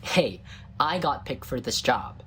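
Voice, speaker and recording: A man's voice: medium pitch, very animated, a little slow, a bit bright, a little hiss or hum. A man playing a tiny, cocky, bumbling scientist in a comedic audio roleplay, smug and flirtatious with a flustered stammer. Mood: defensive, smug.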